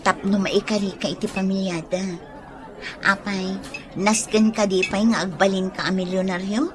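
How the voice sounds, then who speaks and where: A woman's voice: medium pitch, steady tone, moderate pace, background noise, natural voice. An actress playing a teasing, frank young woman who nudges a friend toward romance in a radio drama. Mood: urging.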